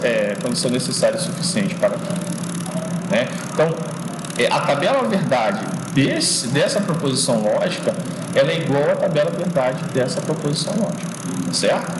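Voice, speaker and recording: A male teacher giving an informal logic lesson, often checking in with "né?" and restarting his sentences as he thinks aloud. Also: mid-range voice. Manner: explaining slowly, frequent checks like "né?" and "tá?"; restarts and repeats words while thinking aloud. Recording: echoey classroom from far off with street noise.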